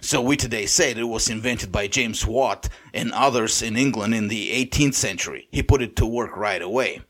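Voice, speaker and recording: Bulgarian accent guy doing a podcast lecture, steady, pauses and restarts now and then. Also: lowish voice. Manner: measured, lecturing, with occasional restarts. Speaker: podcast host. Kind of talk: monologue commentary.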